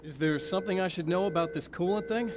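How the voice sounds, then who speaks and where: A man's voice: high voice, fast, noisy background, close to an everyday voice. An actor playing a wary, determined man in his thirties in a video game, with a dry, questioning manner. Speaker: game protagonist. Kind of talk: video game character dialogue. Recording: music behind game dialogue.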